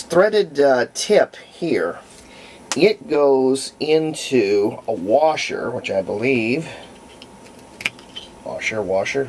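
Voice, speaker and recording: A man's voice: mid-range voice, a little mellow, a little hiss or hum. A man giving a casual, unscripted how-to narration as a hobbyist restorer, folksy and rambling as he thinks aloud. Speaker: hobbyist restorer presenting a repair.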